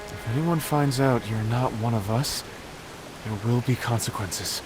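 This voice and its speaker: A man playing an excitable, earnest young male inventor in a video game cutscene. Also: mid-range voice, unhurried, close to an everyday voice, low-quality recording. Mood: serious, quieter. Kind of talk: animated game cutscene dialogue. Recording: loud music behind the voice.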